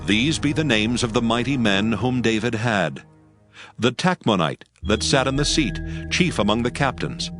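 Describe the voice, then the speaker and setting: A man narrating a dramatized Bible reading in a measured, formal storytelling manner. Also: mid-range voice, expressive, fairly bright tone, faint music behind.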